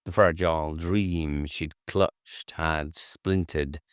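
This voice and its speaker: Deep male voice, slow measured audiobook narration, dry close sound. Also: fairly even intonation, smooth, no echo. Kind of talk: scripted narration.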